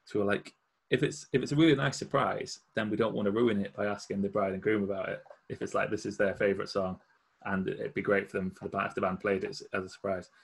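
A man hosting a casual chat with a guest, telling stories quickly and laughing often. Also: lowish voice, steady tone, gravelly, breathy edge. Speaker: host; musician.

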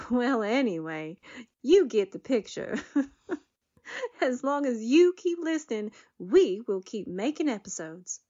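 A woman's voice: fairly high pitch, very animated, slow pace, mellow. A woman podcast cast member addressing listeners from a script, on a slightly muffled recording.

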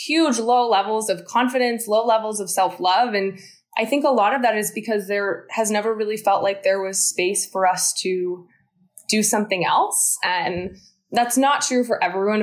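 Thirties woman, interview guest, earnest and encouraging, mid-pitched, talks in flowing explanations. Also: moderate pace. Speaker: guest, founder of a mental fitness studio. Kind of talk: interview conversation.

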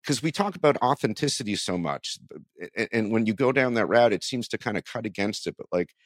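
A male podcast interviewer with a low voice that moves around expressively. He talks conversationally, working out his questions aloud and rewording them, in a close, dry recording. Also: lively intonation, brisk, no room sound.

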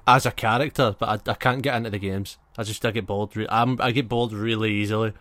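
A man's voice: lowish voice, steady tone, fast, fairly bright tone, no echo. Man in his twenties, dry close sound. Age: 20s. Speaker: podcast co-host. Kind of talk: casual banter.

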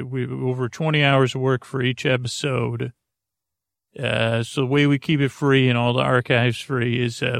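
A man hosting a sleep podcast, rambling softly with many fillers and restarts in a lulling, creaky voice. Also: mid-range voice, no echo, clean studio sound. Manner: meandering, halting, full of fillers and restarts; soft, lulling, unhurried.

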